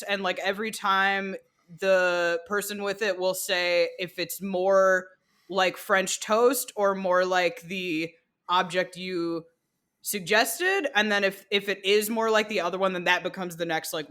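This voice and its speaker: Bright-voiced, giggly young woman. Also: fairly low pitch. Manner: giggly, rambling, many "like"s. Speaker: podcast co-host, game designer. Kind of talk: casual podcast chat.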